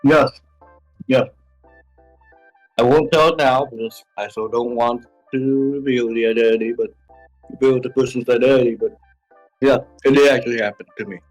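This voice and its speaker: A man answering casually as an interview guest, halting and repeating short phrases as he goes. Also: medium pitch, fairly even intonation, darkish tone, low-quality recording. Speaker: interview guest, web series creator. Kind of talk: casual chat. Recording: music playing behind. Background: music.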